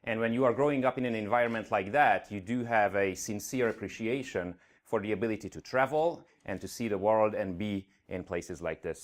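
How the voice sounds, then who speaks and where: Middle-aged hungarian guy, slight accent, earnest conference talk, lots of uhs, lowish voice. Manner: earnest, with frequent uh fillers. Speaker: conference speaker. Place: conference venue stage.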